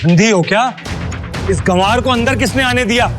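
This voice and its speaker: Casting: snobbish wealthy bully, high agitated voice, taunting delivery, audio drama with music underneath. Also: male voice, moderate pace. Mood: angry contempt. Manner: contemptuous, loud, taunting. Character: arrogant, sneering rich men, young adult to middle-aged. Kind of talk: Hindi audio drama acting.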